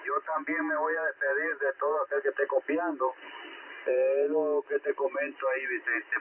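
Tinny radio voice of a chatting man. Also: mid-range voice. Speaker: amateur radio operator. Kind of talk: casual radio chat. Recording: tinny, noisy shortwave radio transmission. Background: radio static.

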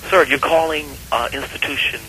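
A man's voice: a little high, moderate pace, pushed and bright. A man answering a church phone, firm and questioning, on a slightly noisy call recording. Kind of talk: phone conversation.

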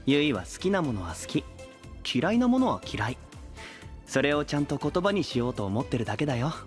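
Serene, lilting young idol. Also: male voice, fairly high pitch, big pitch swings, fairly quick, soft background music. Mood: serene, affectionate. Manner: gentle, composed, refers to himself by name. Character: serene, ethereal, lofty young male idol. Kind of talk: audio drama acting.

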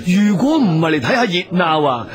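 Nosy, high-voiced excitable villager. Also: male voice, normal speed. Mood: nosy, excited. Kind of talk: Cantonese radio drama acting.

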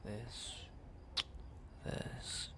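A man playing a jealous, tender young best friend confessing love in an intimate ASMR roleplay, halting and murmuring with breathy sighs. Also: very noisy. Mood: tender, possessive.

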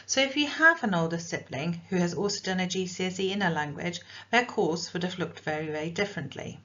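A woman's voice: on the low side, brisk, a bit bright. Brief: female languages teacher, explanatory tone, muffled lo-fi recording. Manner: clear, explanatory teacher delivery. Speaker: subject leader for languages. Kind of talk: school curriculum presentation.

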